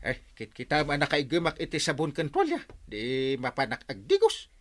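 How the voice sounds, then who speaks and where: An actor playing a comic, easygoing village captain and husband in a Filipino radio drama. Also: male voice, fairly high pitch, huge pitch swings, brisk, a bit bright, exaggerated voice. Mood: startled protest.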